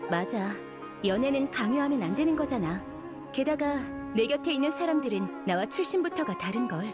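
A woman's voice: high-pitched, animated, a little fast. An actress playing an earnest young woman chatting with a friend in a video game cutscene. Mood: earnest, concerned. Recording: music under dialogue. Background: background music.

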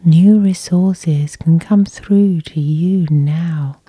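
A woman guiding sleep hypnosis in a gentle, soothing whisper, close to the microphone. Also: lowish voice, very slow, husky, dry recording. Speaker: hypnosis guide, host. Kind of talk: whispered sleep hypnosis, ASMR guided meditation.

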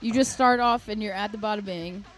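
A woman's voice: medium pitch, a little fast. A woman co-hosting a live game show, casually asking blunt, incredulous questions. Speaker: co-host watching a video game being played. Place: studio, live group show. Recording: music clearly behind the voice.

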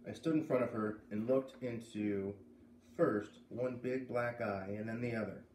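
A man's voice: mid-range voice, unhurried, a little mellow. Man reading aloud in a roomy space. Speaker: storyteller reading a children's novel aloud. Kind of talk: read-aloud narration with casual asides. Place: living room by a fireplace. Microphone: camera a few metres away. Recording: roomy, distant camera mic with faint noise.

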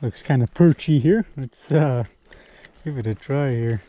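A young man narrating a fishing vlog from a small boat on a lake, chatty and relaxed, thinking aloud with fillers. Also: mid-range voice, unhurried, muffled recording. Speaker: fishing vlogger. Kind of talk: casual outdoor vlog narration. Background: outboard motor and water noise.

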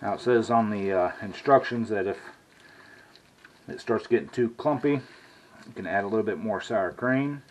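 A man's voice: on the low side, moderate pace, pauses often, a little hiss or hum. A middle-aged man, rambling in a folksy way as he cooks and talks in his home kitchen. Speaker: cooking vlogger. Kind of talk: casual cooking vlog.

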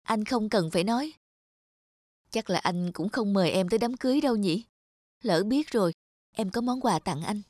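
A woman's voice: mid-range voice, expressive, moderate pace, pauses often, darkish tone, no room sound, studio quality, lightly acted. Woman acting a bitter, lovelorn young woman. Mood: bitter, sarcastic. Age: young adult. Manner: reproachful, pleading. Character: bitter, lovelorn young woman clinging to her former boyfriend. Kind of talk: animated moral drama dubbing.

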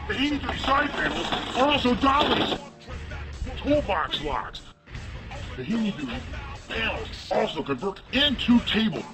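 Very high-pitched, excitable male infomercial pitchman. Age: middle-aged. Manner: excitable, bellowing, hard-sell. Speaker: inventor and product pitchman. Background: music.

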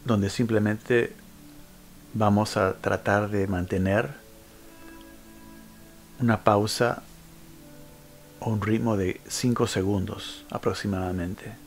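Man, meditation guide, low voice, very slow pace, pausing between short instructions, clear background music. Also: steady tone. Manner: unhurried instructional delivery with frequent pauses.

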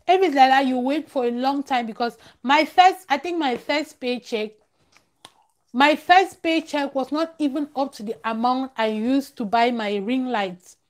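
A woman's voice: high voice, unhurried. A young woman chatting casually on a live stream, emphatic and repeating phrases for stress. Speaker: vlogger, live-stream host. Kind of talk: casual live-stream chat, advice to viewers. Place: small room at home, close to a plain wall. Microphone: clip-on lavalier at the collar.

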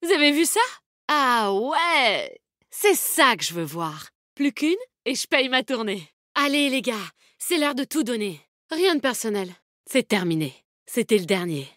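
A woman's voice: on the high side, big pitch swings, measured pace, a bit bright, dry recording, studio quality. An actress playing a tough, confident, protective woman fighter in a video game, with a bold, cheeky, commanding manner. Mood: triumphant, rousing. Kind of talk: video game character voice lines, combat callouts.

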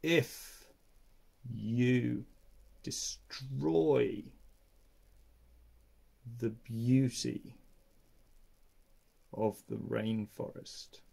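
A storyteller voicing a female sloth in a deep, lazy voice, drawing out each word. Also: fairly even intonation, extremely slow, gravelly, pauses often, mellow, exaggerated voice. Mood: languid. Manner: drawn out, word by word.

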